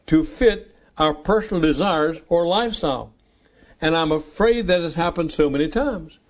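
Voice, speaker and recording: A ninety-year-old male pastor delivering a sermon, stretching out and repeating key phrases for weight. His voice sits a little higher than most men's. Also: darkish tone. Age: 90s. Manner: slow, emphatic repetition of key phrases.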